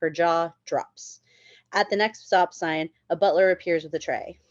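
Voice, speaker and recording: A woman's voice: fairly low pitch, fairly even intonation, a little slow, no room sound, muffled recording. A woman reading screenplay stage directions as narrator at a table read.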